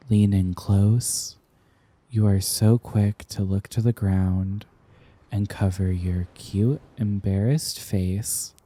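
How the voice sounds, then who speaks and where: A young man playing an affectionate, teasing sweetheart smitten with an old friend, speaking tenderly and haltingly in an intimate roleplay ASMR style. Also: on the low side, dark tone. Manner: tender, playful, halting with pauses and repeats.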